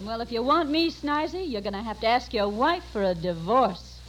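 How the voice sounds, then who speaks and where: Actress as a sultry nurse, highly varied pitch. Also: female voice, animated, normal speed, natural voice. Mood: cool, insistent. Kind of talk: old-time radio comedy acting. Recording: noisy old radio recording.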